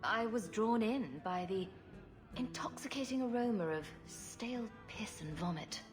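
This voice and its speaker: An actress playing a wry, blunt, sharp-tongued woman adventurer in a video game. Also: a little high, animated, a little slow, soft background music. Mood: dry, teasing.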